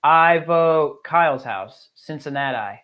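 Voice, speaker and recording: A man's voice: on the high side, lively intonation, takes it slowly, muffled recording. A man co-hosting a casual fantasy sports show, joking and teasing in crude, easygoing banter. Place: remote call on a live stream.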